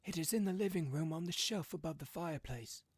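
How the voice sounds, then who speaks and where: A man's voice: fairly high pitch, a little fast, husky, voice pushed up. A man voicing a fearful old husband and father in a story reading, shaky and protesting in alarm. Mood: puzzled, angry.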